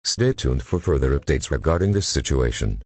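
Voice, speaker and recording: A man's voice: rumbling low, sing-song, moderate pace, background noise. A man reading a formal scripted emergency alert as an official announcer.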